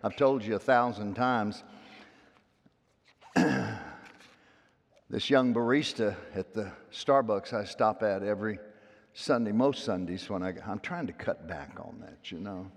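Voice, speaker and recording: A man preaching from the pulpit with a loud voice and a folksy, storytelling way of talking. He drops into quiet, confiding asides and then climbs back to ringing, emphatic lines. The long reverb of a big sanctuary trails after every phrase. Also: lowish voice, takes it slowly, creaky, pauses often. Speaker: pastor. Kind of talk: sermon. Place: church sanctuary.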